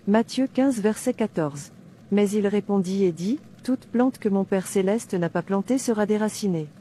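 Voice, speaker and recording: A woman playing a devout, fearless captive in an animated drama, reciting scripture in a declamatory way. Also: medium pitch, moderate pace, slight background noise, close to an everyday voice. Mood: defiant, devout. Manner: declamatory, reciting verses with references.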